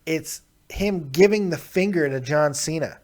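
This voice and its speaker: Male call-in host, slightly high voice, brash and teasing, crude humor, laughs loudly. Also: measured pace. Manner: loud, teasing, bursts of laughter. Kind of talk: crude joking call-in chat.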